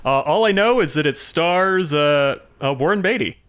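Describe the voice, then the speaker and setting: A man's voice: fairly high pitch, expressive, normal speed, fairly bright tone, clear, resonant tone. A man co-hosting a film podcast, talking casually and explaining his points as he goes. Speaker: podcast co-host.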